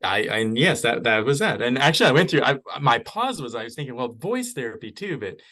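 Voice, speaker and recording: A middle-aged man, a podcast guest, speaking in a measured, thoughtful and personal way in casual interview chat. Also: mid-range voice, sing-song, pushed and bright.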